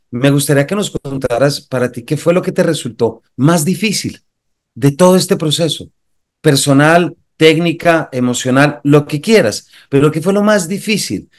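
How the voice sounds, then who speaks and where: Man asking long winding questions. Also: fairly high pitch. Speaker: interviewer, host. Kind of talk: conversational interview.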